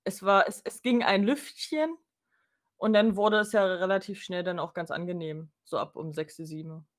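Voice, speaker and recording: A woman's voice: fairly low pitch, moderate pace. A woman co-hosting a podcast, chatting casually and often musing aloud in an informal way. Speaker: podcast co-host. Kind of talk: casual chat.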